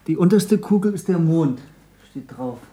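A man's voice: on the high side, lively intonation, moderate pace, warm tone, natural voice. A male actor playing an earnest, curious boy pupil in a 1960s German radio drama, speaking in a plain, questioning way. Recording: faintly noisy old radio recording.

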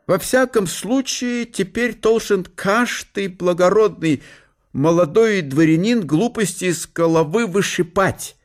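A man playing a stern, pedantic middle-aged father in a radio drama, with a German accent and halting, broken grammar. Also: high-pitched, voice pushed up. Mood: pompous, lecturing.

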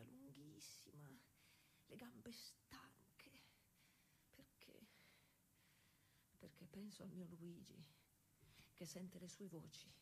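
A woman's voice: lowish voice, vocal fry, darkish tone, exaggerated voice. Weary, stubborn woman cyclist, faint noise. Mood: weary, sorrowful. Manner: intimate colloquial storytelling with dramatic pauses. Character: defiant working-class 1920s woman cyclist, weary but stubborn. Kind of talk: theatrical dramatic monologue.

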